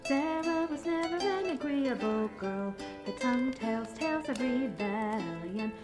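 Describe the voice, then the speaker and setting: A woman's voice: a little high, animated, unhurried. A young woman playing a traveling bard, singing folk ballads in a fantasy audio roleplay. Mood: storytelling, playful. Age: young adult. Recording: roomy echo, plucked instrument music behind.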